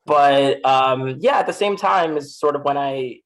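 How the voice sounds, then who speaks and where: A man's voice: mid-range voice, a little slow. A man from Miami with an American accent, speaking as a podcast guest in a casual, reflective, self-deprecating interview style. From Miami, United States.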